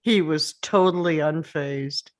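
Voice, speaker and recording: Woman reading a protective husband and father in a drama: lowish, slow, understated, reassuring. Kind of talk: dramatic table read of a screenplay.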